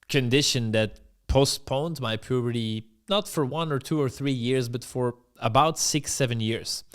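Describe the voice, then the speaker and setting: A man's voice: medium pitch, clear, resonant tone. A young adult man from Belgium, a dating coach, tells a candid, blunt and self-deprecating personal story straight to camera.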